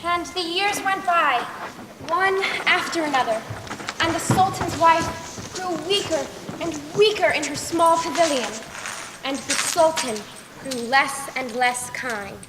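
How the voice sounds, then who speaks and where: Stage storyteller queen, played by a young woman: formal, measured, high-pitched, heard in a reverberant hall. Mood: narrating calmly. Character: Scheherazade, composed clever queen telling stories to a sultan. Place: school stage play in a large hall. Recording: echoey hall, clear background noise.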